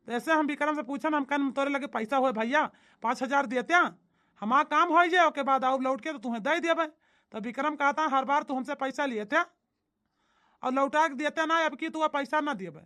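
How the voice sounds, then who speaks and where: A man plays a grumbling village elder brother in a comedy cartoon. The voice is high and sharp, with an Awadhi accent and an irritable, emphatic delivery.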